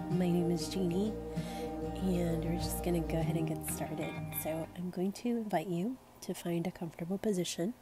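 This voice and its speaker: Female meditation guide, lowish and flat in pitch, soothing, unhurried delivery with music behind. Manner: gentle, soothing, unhurried invitations. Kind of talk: slow guided meditation narration.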